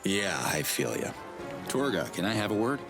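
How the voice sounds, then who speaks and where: A man's voice: on the low side, very animated, moderate pace. A man playing a dry, sardonic, laid-back hero in a video game cutscene. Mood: easygoing. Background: background music.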